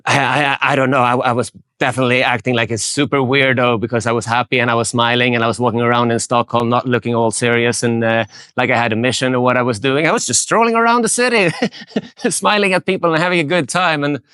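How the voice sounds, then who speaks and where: Smooth-voiced man, speaking in a close, dry recording. He tells a casual story in a relaxed, rambling way and often restarts his sentences. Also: mid-range voice, quick pace, dry recording. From Sweden. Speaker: interviewee, photographer.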